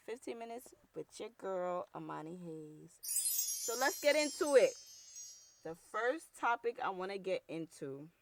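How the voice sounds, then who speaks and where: A young woman from New York with a deep, rough voice and a New York accent, hosting her own show with blunt, slangy, heated talk. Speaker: podcast host. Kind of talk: casual solo commentary.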